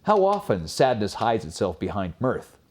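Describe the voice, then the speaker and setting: Man playing a monkey, melancholy, rueful, hushed, formal, storybook fable, lowered voice, expressive swings. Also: normal speed. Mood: rueful, gloomy. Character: melancholy male monkey, sad clown of a fable.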